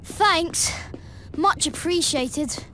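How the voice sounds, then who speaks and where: A woman voicing an excitable, grumbling, sarcastic young boy wizard in a video game. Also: extremely high pitch, very slow, slight background noise, full character voice. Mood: relieved, wry.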